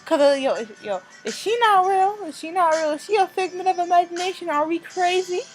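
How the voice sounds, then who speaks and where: A woman hosting a visual novel let's play, reading aloud with casual, expressive reactions and stumbling over and repeating phrases. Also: very high voice, a little fast. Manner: expressive, reacts in outbursts between read passages. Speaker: gaming video host. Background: music.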